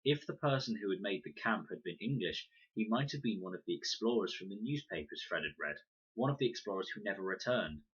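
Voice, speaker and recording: A man's voice: lowish voice, lively intonation, brisk, a bit bright, breathy edge, dull audio. A man reading a children's adventure novel aloud as scripted storybook narration. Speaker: audiobook reader.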